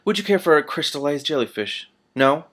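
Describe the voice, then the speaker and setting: A man reading aloud as a fat male ruler, measured and formal, amiably threatening. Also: fairly high pitch, sing-song, fairly bright tone, pitched above the performer's own voice. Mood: softly threatening. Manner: measured, formal, mock-polite.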